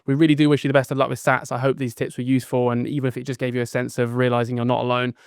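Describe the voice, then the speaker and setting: Brit guy hosting a webinar on a call, talks super fast, smooth clear voice, dry sound. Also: medium pitch, flat delivery, dry recording. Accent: British. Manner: upbeat, thanks people a lot, jokey. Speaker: webinar host. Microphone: video call.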